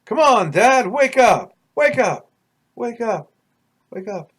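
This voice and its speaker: Man acting an alarmed son, voice raised high. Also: big pitch swings, takes it slowly, slightly rough, full character voice. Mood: urgent, alarmed. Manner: urgent, repetitive calling. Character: alarmed son urgently waking his sleeping father.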